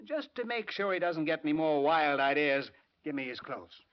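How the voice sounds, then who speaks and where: A man's voice: fairly high pitch, sing-song, moderate pace, over music. An actor with an American accent playing a bossy, fatherly newspaper chief in an old radio drama, brash and slangy. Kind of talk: 1940s-50s radio acting. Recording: muffled old radio recording.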